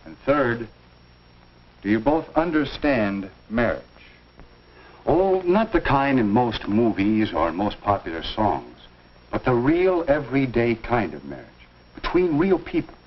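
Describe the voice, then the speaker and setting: An actor playing a genial, earnest minister counseling young people in an avuncular, folksy 1950s instructional film style. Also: male voice, mid-range voice, sing-song, slight background noise. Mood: earnest, advising. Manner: avuncular, measured, folksy lecturing. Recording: dull 1950s film soundtrack.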